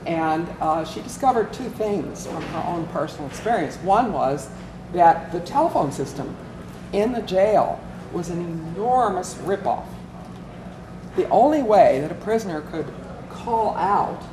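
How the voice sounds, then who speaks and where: Low-voiced woman presenting in a noisy room. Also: animated, measured pace, reverberant. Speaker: program volunteer presenting. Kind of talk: informal case-study presentation. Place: workshop room.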